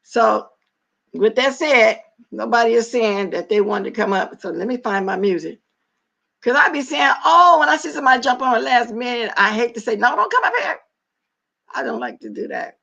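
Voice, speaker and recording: Raspy middle-aged woman streaming live. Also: on the high side, expressive, normal speed, sharp tone. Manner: blunt, opinionated, talks straight to her chat. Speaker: livestream host. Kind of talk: casual livestream chat. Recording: dull lo-fi livestream audio.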